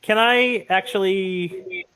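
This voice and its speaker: Mid-pitched player in casual game chat. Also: slow, a bit bright, smooth.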